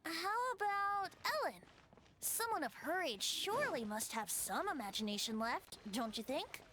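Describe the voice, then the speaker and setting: A woman's voice: high-pitched, sing-song, moderate pace, bright, forceful tone, exaggerated voice. Woman voicing a teasing boyish wind bard. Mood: musing. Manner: lightly teasing, musing. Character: playful young male wind spirit bard, teasing. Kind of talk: video game voice acting. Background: game music.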